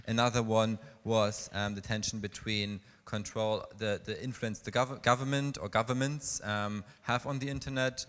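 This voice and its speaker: A man's voice: lowish voice, steady tone, normal speed, few pauses, a bit bright. A man presenting his session's results at a conference, summarizing from his notes in a conference hall. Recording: reverberant hall recording.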